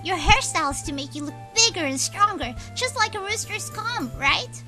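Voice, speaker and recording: A woman's voice: extremely high pitch, animated, moderate pace, sharp tone, faint music behind, exaggerated voice. A woman in a game fan dub voicing an eager, sweetly polite young girl helper. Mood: polite, chatty.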